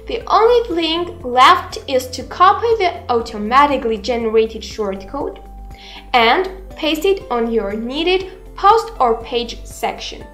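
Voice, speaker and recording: High-voiced young woman presenting a tutorial. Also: a bit bright. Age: 20s. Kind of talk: scripted software tutorial narration. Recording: roomy echo, music underneath. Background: background music.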